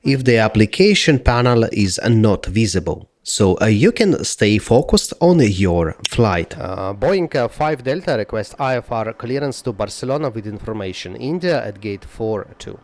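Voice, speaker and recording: Guy doing a step by step software walkthrough, lowish voice, lots of hesitating, dry close sound. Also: sing-song, no room sound. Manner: halting delivery with frequent hesitations. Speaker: software tutorial presenter. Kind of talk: step-by-step tutorial narration.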